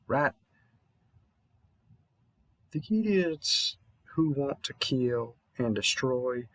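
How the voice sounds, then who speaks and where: A man's voice: mid-range voice, no echo. A man playing a weary, kindly veteran vampire hunter in a roleplay audio drama, with a Southern US accent and a rambling, slightly mumbled, reassuring manner. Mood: encouraging.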